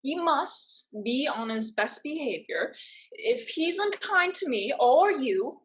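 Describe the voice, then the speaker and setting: A woman's voice: high-pitched, big pitch swings, takes it slowly, heavily acted, rough recording. A woman playing a bold, headstrong, defiant young survivor in a staged play reading, theatrical and emphatic. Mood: persuasive.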